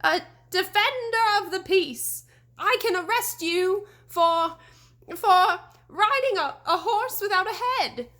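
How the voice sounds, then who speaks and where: A woman voicing a cowardly, lanky male deputy with a whiny, high-pitched, panicky voice, as in an audiobook. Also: big pitch swings, drawn-out words, hardly any pauses, bright, forceful tone, exaggerated voice. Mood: panicked. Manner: panicky, shrieking, tearful. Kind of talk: audiobook character voice.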